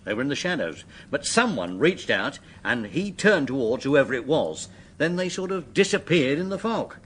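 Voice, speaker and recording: An actor playing a deferential, earnest attendant giving witness in a radio drama, respectful in manner. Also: male voice, mid-range voice, big pitch swings, moderate pace, fairly bright tone, slightly rough. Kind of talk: British radio drama acting.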